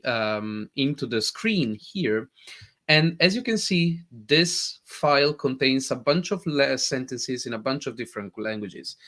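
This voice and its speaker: Eager Italian-accented man demoing software. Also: mid-range voice. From Italy. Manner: eager, animated explaining. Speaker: tech presenter. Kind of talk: live software demo.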